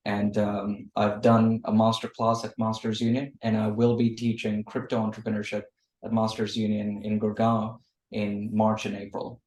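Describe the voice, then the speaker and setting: A man in his thirties with an Australian accent, a guest answering questions over a video call in a measured way with frequent fillers. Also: on the low side, completely flat delivery, a little mellow. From Australia and India. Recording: roomy, distant video call audio.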